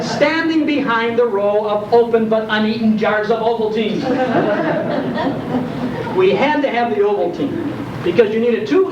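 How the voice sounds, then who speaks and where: Older american guy doing funny nostalgic stories for an audience, dramatic pauses, echoey room w lots of background noise. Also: sits high. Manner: animated, dramatic pauses and repeats for comic effect. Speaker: guest speaker. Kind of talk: humorous nostalgic storytelling to an audience. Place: indoor hall at a live program. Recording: muffled, noisy, echoey room from far off.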